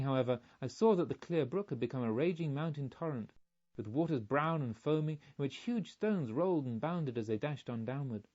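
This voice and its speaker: A man's voice: a little high, a little mellow. A man reading a storybook, voicing an anxious, loving male pilgrim in earnest, formal, emphatic speech on a slightly muffled recording. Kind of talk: audiobook storytelling.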